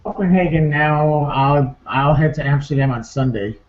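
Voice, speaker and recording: A man's voice: a little high, normal speed. A man co-hosting a casual online talk show, chatting informally and in a subdued way over a muffled internet call. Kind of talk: casual two-person chat. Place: indoor room, online video hangout. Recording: muffled, echoey, faintly noisy online call.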